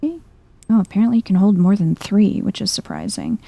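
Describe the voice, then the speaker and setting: A soft-spoken woman doing chill, playful ASMR game commentary, often close to a whisper. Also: mid-range voice, brisk, vocal fry, a little hiss or hum. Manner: chill, playful muttering to herself. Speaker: gaming video host.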